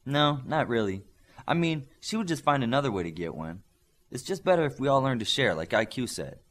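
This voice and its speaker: A man's voice: medium pitch, big pitch swings, brisk, a bit bright. A man voicing a dry, playful, sword-trained young superhero girl in an audiobook. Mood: confident.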